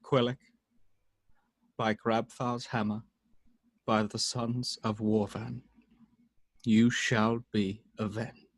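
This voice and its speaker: A man playing a grave, fatherly space officer with a solemn, deliberate manner, in an amateur script read. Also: on the low side, level tone, no echo. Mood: solemn vengeance. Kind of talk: amateur screenplay table read.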